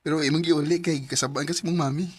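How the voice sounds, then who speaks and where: A woman's voice: sits low, steady tone, very fast, darkish tone, breathy edge, no echo. A woman playing a modest, good-humored young man in his mid-twenties who runs a motor shop. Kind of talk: amateur radio drama acting.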